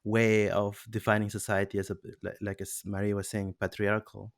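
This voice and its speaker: A man's voice: fairly low pitch, flat delivery, moderate pace, vocal fry, no echo, clean studio sound. A man from Peru hosting a casual podcast discussion, thoughtful and hesitant, with frequent restarts. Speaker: podcast host.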